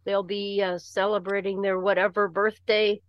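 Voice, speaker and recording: A woman's voice: medium pitch, flat delivery, moderate pace, no room sound, highs cut off. A woman talking casually in a group conversation.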